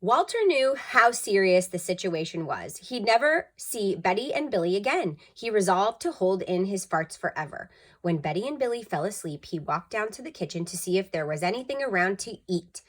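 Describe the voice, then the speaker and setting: A woman's voice: mid-range voice, sing-song, normal speed. A woman narrating a children's story expressively, with dramatic emphasis, like a school storytime reader.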